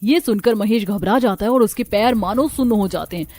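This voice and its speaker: A woman's voice: medium pitch, a little fast, a little mellow. A woman reading scripted narration for a cartoon village story. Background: background music.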